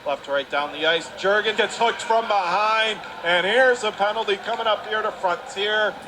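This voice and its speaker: A man's voice: sits high, normal speed. A man calling live radio play-by-play of an ice hockey game from the arena. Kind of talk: live radio sports commentary. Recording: clear arena noise behind the voice.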